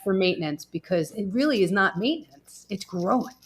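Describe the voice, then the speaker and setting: A woman's voice: mid-range voice, expressive, brisk, over a noisy crowd. A woman in casual podcast chat, informal and opinionated, peppering her sentences with "like".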